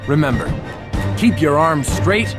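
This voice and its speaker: Brooding vigilante archer over music. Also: male voice, a little high, lively intonation, measured pace. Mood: emphatic, self-important. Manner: dramatic, wry storytelling. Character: young male vigilante archer, brooding, self-serious island survivor. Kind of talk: scripted video game narration.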